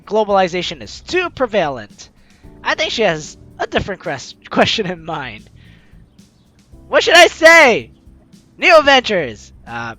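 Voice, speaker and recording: A bright, forceful voice hosting a game playthrough, reading story text aloud with theatrical flair. The pitch swings around in a lively way and the commentary is playful. Soft game music plays behind. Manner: animated, theatrical, playfully exclaiming. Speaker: gaming video host reading a visual novel aloud.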